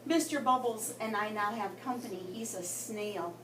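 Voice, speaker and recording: A woman reading a story aloud to children in an expressive way, with dramatic pauses and emphasis. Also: medium pitch. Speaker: guest story reader for children. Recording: roomy, distant mic with background noise.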